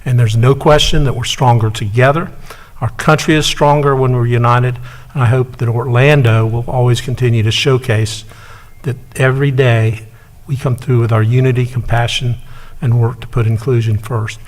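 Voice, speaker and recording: Measured mayor chairing a council meeting. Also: male voice, mid-range voice, fairly even intonation. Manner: measured, with frequent fillers and pauses. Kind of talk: formal meeting chairing and prepared remarks. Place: city council chamber.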